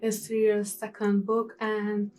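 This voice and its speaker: A woman's voice: mid-range voice, completely flat delivery, slow pace, darkish tone. Non-native young woman with a Hungarian accent, casual vlog chat, slightly distant mic with faint music bed. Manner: chatty, restarts sentences, non-native grammar. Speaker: book vlogger. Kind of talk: casual reading wrap-up chat.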